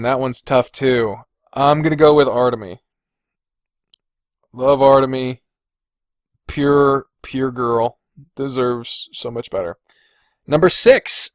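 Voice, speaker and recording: A man's voice: mid-range voice, dry recording, clean studio sound. A man hosting a podcast alone, talking casually and thinking aloud with informal, loose phrasing. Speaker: podcast host. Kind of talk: casual solo ranking commentary.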